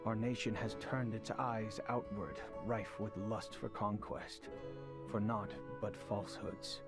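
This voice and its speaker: Brief: brooding young fantasy prince, low register, stately archaic diction, game cutscene. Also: male voice, flat delivery, slow, over loud music. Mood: bitter, somber. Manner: formal, archaic courtly diction. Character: earnest, dutiful young prince, formal and brooding. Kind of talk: video game cutscene acting.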